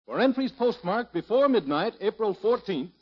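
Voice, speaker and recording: High-pitched American radio pitchman. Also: lively intonation, normal speed. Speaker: radio commercial announcer. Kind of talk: 1950s scripted radio advertising. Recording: muffled 1950s radio recording.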